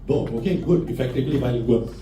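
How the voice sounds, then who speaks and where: A man's voice: mid-range voice, quick pace, dark tone, a little hiss or hum. A man moderating a conference panel, teasing the speakers and joking with the crowd. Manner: playful, teasing, switches between English and Mandarin. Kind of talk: lively event hosting, joking banter. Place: conference hall on stage. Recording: echoey hall from far off with music.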